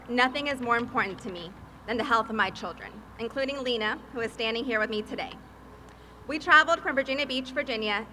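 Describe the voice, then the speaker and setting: Woman from Peru at a podium, bright, slightly high voice, faint hiss behind. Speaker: advocacy field manager and mother. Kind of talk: prepared speech at a press event.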